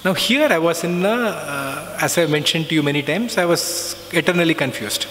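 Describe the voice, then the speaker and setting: A man's voice: a little high, normal speed, a bit bright. Man recounting a memory in a hall. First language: not Hindi. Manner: reflective storytelling, frequent uh and so. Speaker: alumnus giving a talk. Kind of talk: personal spiritual talk. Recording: long reverb, faint background noise.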